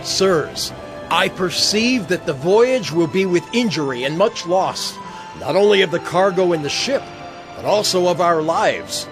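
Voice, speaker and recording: A man's voice: high-pitched, lively intonation, measured pace. A man playing an earnest apostle who exhorts frightened shipmates in a dramatized scripture audio drama, with emphatic, oratorical delivery. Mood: grave warning. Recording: music bed under the voice.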